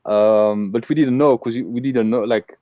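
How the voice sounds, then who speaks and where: A man's voice: medium pitch, fairly even intonation, normal speed, no echo, phone-quality sound. A man from Belgium hosting a casual live interview, talking with many fillers and restarts. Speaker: host.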